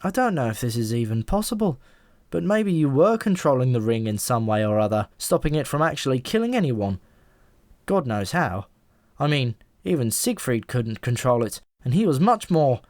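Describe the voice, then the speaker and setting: A man's voice: mid-range voice, sing-song, normal speed, a little mellow, no room sound, clean studio sound, lightly acted. A man voicing a talking pigeon in an audiobook, a knowing and easily exasperated explainer with a wry, emphatic manner. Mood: explaining, wry.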